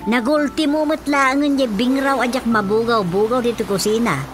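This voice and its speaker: A woman's voice: a little high, moderate pace, faint music behind, lightly acted. A woman playing a nagging, exclamatory elderly wife and mother in a comic radio drama. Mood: scolding.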